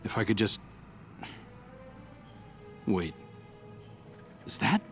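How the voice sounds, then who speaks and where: An actor playing a weary, guilt-ridden, earnest hero in a video game, with a hesitant, naturalistic delivery. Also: male voice, fairly low pitch, very animated, drawn-out words, soft background music, exaggerated voice. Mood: wary. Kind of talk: dramatic video game acting.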